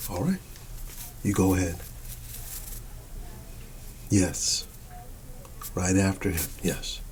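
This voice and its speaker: Easygoing male schoolteacher for ASMR roleplay: soft, whisper-prone, unhurried delivery, reassuring repeated phrases, audible noise behind. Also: low voice, steady tone. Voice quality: soft, often dropping into a whisper. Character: quirky, easygoing male schoolteacher addressing his class. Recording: clearly audible background noise.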